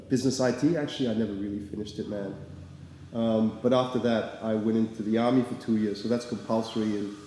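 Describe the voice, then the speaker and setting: Relaxed young man in an echoey room. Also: lowish voice, slight background noise. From Singapore. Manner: relaxed, rambling, many fillers. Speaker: artist guest. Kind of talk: casual interview. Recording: echoey room with long reverb.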